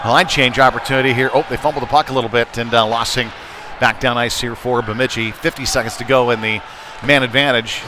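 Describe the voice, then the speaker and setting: A man's voice: medium pitch, steady tone, quick pace. Man calling hockey over rink noise. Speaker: ice hockey play-by-play commentator. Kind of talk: live sports commentary. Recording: clear background noise, live rink ambience. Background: ambient sports venue noise.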